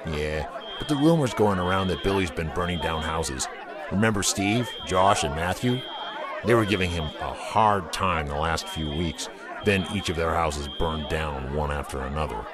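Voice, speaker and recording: An actor playing a nervous, gossiping high school boy in an audio drama, slangy and uneasy. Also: expressive, moderate pace. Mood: gossipy, wary. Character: wary gossiping male high school student, nervous sidekick. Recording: loud music and crowd behind.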